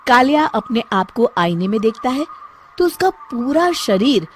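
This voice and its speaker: Lively woman story narrator. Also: a little high, a little slow. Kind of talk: scripted children's story narration. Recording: clearly noisy background.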